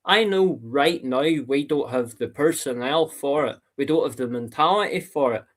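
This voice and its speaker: A man's voice: a little high, a bit bright. A man with a Northern Irish accent, a podcast guest talking football with passion and emphasis. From Northern Ireland. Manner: fired-up, emphatic.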